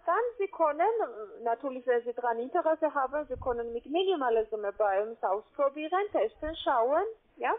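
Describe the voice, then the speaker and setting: High-pitched foreign-accented woman on the phone. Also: animated, normal speed. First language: not German. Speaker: call-centre sales agent. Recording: narrow, muffled phone line.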